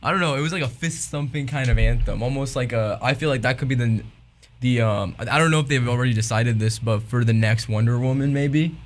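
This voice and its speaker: A man's voice: medium pitch, brisk. A man co-hosting a casual podcast chat about music, dry-humored and hesitant as he finds his words. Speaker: podcast co-host. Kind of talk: casual album review chat.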